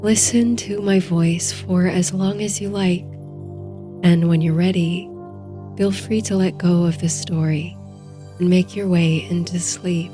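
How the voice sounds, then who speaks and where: A woman narrating a guided sleep story in a soothing, hushed, unhurried way. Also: lowish voice, steady tone, over music.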